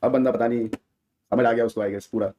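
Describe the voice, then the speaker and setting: A man's voice: mid-range voice, steady tone, moderate pace, warm tone. A man speaking as a gaming streamer in a casual, slangy rant to viewers, often seeking their agreement. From India.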